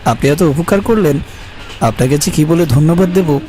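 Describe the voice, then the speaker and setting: Man as a mellow, uneasy traveller telling ghost story. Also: on the high side, expressive, moderate pace, lightly acted. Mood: grateful, conversational. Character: uneasy, polite male traveller narrating his eerie night journey. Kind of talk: first-person scripted ghost story narration with dialogue. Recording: faint music behind the voice.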